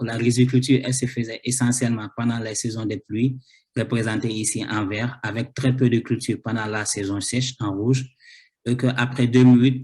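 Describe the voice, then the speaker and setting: A man's voice: on the low side, a little mellow. A man with a cold giving an academic thesis talk, hesitant, with many fillers and repeated words. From Senegal. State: has a cold. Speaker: researcher presenting his thesis.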